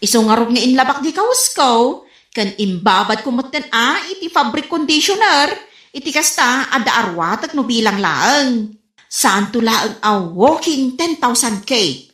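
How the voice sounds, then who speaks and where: A woman acting in a comedy radio drama as an excitable, exclaiming village woman. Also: a little high, a little slow. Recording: roomy, echoing sound.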